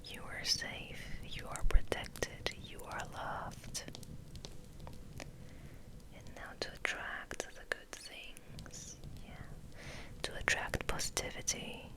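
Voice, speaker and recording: A woman host giving a gentle, soothing ASMR ramble in a breathy whisper, softly repeating words. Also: takes it slowly.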